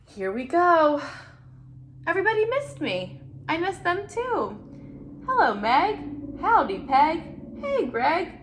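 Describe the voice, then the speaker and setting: A woman voicing an earnest, anxious-to-please male egg in an expressive children's picture-book read-aloud. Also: high-pitched, pitched above the performer's own voice, full character voice. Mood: cheerful. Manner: expressive storybook reading with playful emphasis.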